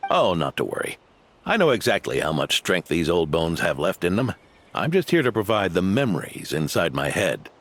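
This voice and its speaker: A man voicing a weathered old knight in a video game, wry and solemn, with a gravelly voice. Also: sits low, very animated, normal speed, slight background noise. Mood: easygoing. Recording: game music behind the voice.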